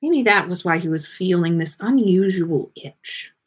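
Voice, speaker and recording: A woman's voice: on the low side, expressive, unhurried, slightly rough. A woman reading a story aloud expressively, with theatrical emphasis and the occasional stumble. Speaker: podcast host reading listener fan fiction aloud.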